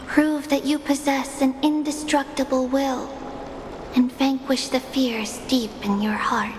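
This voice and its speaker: Solemn high-voiced divine titan echo. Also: female voice, flat delivery, unhurried, background noise. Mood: solemn, commanding. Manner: formal, grave, declamatory. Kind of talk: scripted game voice acting. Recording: long reverb, music underneath.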